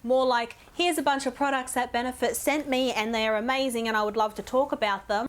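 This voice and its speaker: Chatty, croaky woman vlogger. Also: on the high side. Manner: chatty, ranting, addresses viewers directly. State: croaky from a cold. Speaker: beauty vlogger. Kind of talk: casual makeup tutorial talk.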